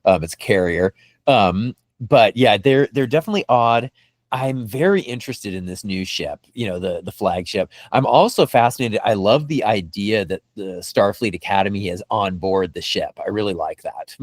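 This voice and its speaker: A man's voice: on the low side, moderate pace, dry recording, studio quality. A man co-hosting a podcast in casual fan discussion, enthusiastic and rambling, repeating words as he goes. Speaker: podcast co-host.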